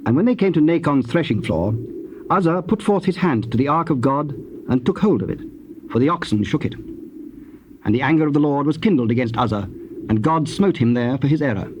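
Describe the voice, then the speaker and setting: A man narrating dramatized scripture in a measured, formal storytelling manner. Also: a little high, animated, darkish tone. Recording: dull tape with faint noise.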